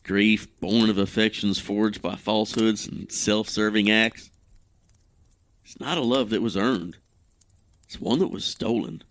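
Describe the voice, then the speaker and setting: A man's voice: lowish voice, unhurried, dry recording. An actor playing a guilt-ridden, self-condemning man in an audio drama, speaking in a formal, brooding way. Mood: bitter resolve.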